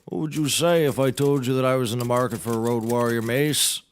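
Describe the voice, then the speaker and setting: A man's voice: medium pitch, steady tone, brisk, lightly acted. An actor playing a pompous, blustering nautical handyman turned defense lawyer, orating theatrically in a scripted courtroom comedy.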